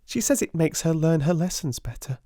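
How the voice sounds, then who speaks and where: Man putting on a high, giggly gossiping schoolgirl. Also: lively intonation, a little fast, dark tone, no room sound, studio quality. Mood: eager gossip.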